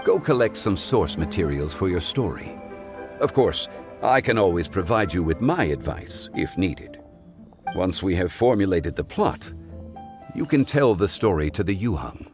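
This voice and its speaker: A wise, composed gentleman adviser in a video game. His voice is low and formal, with courteous phrasing that rises and falls expressively, set over clear background music. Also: very animated. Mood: advising. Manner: formal, measured, scholarly wording.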